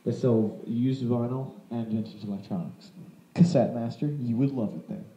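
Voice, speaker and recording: A man's voice: mid-range voice, normal speed, mellow. A man giving a casual, unscripted product demonstration as a vlogger, rambling informally and correcting himself as he goes. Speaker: vlogger presenting vintage audio gear. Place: indoor room. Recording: roomy, muffled home-video sound.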